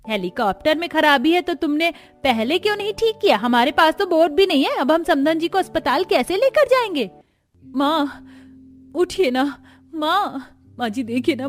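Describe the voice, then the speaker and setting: A woman playing women of a joint family in a Hindi cartoon story, with expressive, earnest dramatic delivery. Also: sits high, moderate pace. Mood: alarmed. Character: women of a joint family, dutiful daughters-in-law and mothers-in-law.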